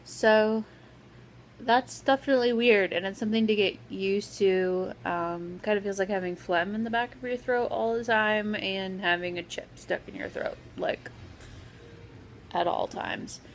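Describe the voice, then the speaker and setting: A woman vlogger talking casually with a sore, scratchy throat, pausing often with lots of "um"s. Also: mid-range voice, steady tone. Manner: hesitant, full of "um" fillers. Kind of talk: casual vlog update.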